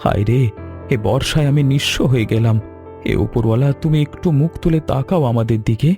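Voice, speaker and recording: A man's voice: mid-range voice, fairly quick, warm tone, faint music behind, natural voice. A man playing an anxious, humble village weaver in a cartoon story, with a plaintive, earnest delivery. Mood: wailing despair.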